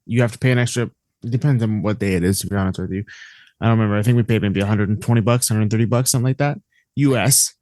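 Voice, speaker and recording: A man's voice: on the low side, racing, a little mellow, dry recording. Man, enthusiastic, blunt, casual swearing, podcast co-host, dry close mic.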